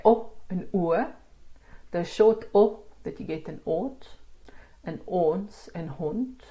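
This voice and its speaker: A woman with a South African accent, teaching pronunciation in a casual spoken lesson and sounding out words slowly. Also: fairly low pitch, dark tone, muffled recording. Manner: demonstrates sounds slowly, laughs at herself. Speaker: language teacher.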